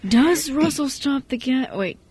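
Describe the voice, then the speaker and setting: A woman's voice: high-pitched, expressive, unhurried, a crowd in the background. A young woman co-hosting an amateur radio show, chatting casually in a playful, slangy way. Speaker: co-host and writer of an amateur radio drama show. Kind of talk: casual group chat.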